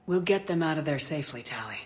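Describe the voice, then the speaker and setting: An actress playing a steady, authoritative female military commander in a sci-fi video game, terse and composed. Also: deep voice, quick pace. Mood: reassuring. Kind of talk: video game dialogue acting.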